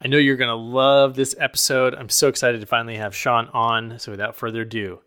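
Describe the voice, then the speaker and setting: A man's voice: medium pitch, level tone, brisk, bright, forceful tone, clean tone, no echo. A man hosting a podcast, introducing and interviewing his guest. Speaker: podcast host.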